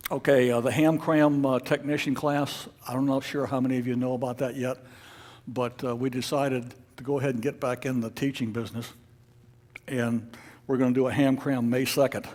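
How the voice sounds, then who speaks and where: Man giving halting, informal club announcements. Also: medium pitch, flat delivery, darkish tone. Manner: halting, hesitant, unscripted delivery. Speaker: club officer leading a meeting. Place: club meeting room with an audience.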